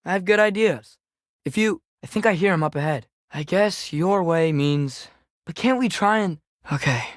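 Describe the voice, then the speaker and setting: A young male actor playing an earnest, impulsive teenage boy archer in a video game, expressive and youthful. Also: sits high, normal speed, no echo, clean studio sound, natural voice. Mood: eager, unsure. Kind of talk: video game character dialogue.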